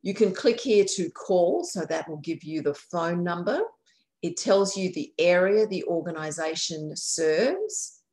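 A woman's voice: fairly low pitch, lively intonation, unhurried, a little mellow. A woman from a county aging services office, guiding viewers through a website on a shared screen with a friendly, explanatory manner. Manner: friendly, explanatory, self-correcting. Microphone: computer mic on a video call.

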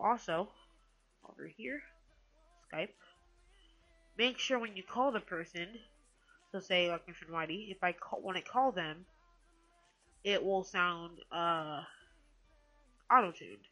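A woman with a bright, slightly low-set voice talks through a casual screen-recorded tutorial. She rambles and hesitates, correcting herself as she goes, with some music playing behind her. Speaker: tutorial host.

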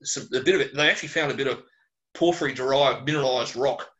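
An Australian man, a geologist answering questions informally on a webinar, speaking bluntly and colloquially with animated digressions. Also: mid-range voice, fast talker. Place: small closed room at home or office, on a video call.

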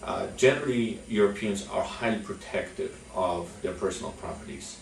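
A young man from Poland, giving a measured instructional talk to camera as a business executive, with many "uh" fillers. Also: deep voice, vocal fry. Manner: frequent "uh" fillers, measured delivery. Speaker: chamber of commerce executive. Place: office with logo backdrop. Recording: echoey room, mic at a distance.

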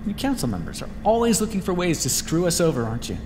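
A man's voice: high voice, huge pitch swings, quick pace. A man voicing a sardonic, protective vampire scientist in an ASMR roleplay, with dramatic, formal speech that often drops toward a whisper. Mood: cold, bitter. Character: desperate, sardonic male vampire scientist, protective of his captive. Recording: hall-like reverb with clear background noise.

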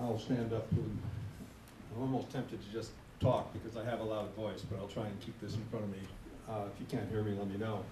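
Flat low-voiced man in an echoey hall. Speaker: panelist, nonprofit fund representative. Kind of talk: unscripted panel talk. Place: conference room. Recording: echoey room, distant mic, faint rumble.

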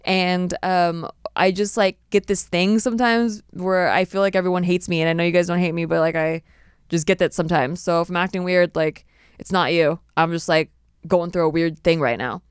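A woman in her thirties hosting a casual podcast, chatty and animated, recorded on a desk mic in a small studio. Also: on the low side, normal speed, clean tone, no echo. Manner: animated, chatty, slips into playful put-on voices. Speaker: podcast host. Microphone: desk mic on a boom arm.

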